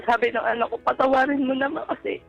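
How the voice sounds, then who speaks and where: High-pitched woman calling a radio show. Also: fairly even intonation, quick pace, gravelly. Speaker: caller to a radio advice show. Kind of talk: personal storytelling in a radio call. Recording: dull lo-fi phone-in line with music. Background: background music.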